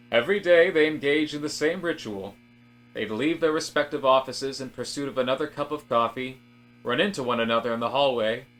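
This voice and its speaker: A man narrating a scripted story in a deliberate, mock-solemn manner. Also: mid-range voice, a bit bright.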